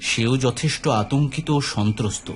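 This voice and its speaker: A man's voice: mid-range voice, moderate pace, slight background noise. A man reading scripted narration for a dramatic horror audio story. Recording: background music under the voice.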